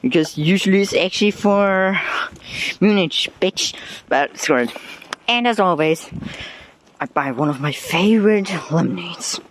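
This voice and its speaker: A man's voice: high-pitched, lively intonation, a little slow, a bit bright, a little hiss or hum. Young German-accented man vlogging outdoors. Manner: rambling, self-deprecating, swears casually. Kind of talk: casual walking vlog. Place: outdoors on streets and on a bus. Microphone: phone held while walking.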